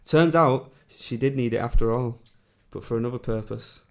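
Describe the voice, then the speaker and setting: A man plays an eccentric, loyal companion in a fantasy radio play. He has a mid-pitched voice with plenty of fry, and talks in a wry, rambling way. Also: moderate pace, darkish tone, lightly acted. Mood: wry, explaining. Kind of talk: amateur fantasy radio drama acting.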